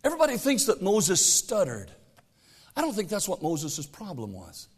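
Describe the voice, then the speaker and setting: A middle-aged man preaching a sermon as pastor, swinging between loud exhortation and quiet asides, reading scripture aloud in a raised voice. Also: high-pitched, huge pitch swings, normal speed, husky.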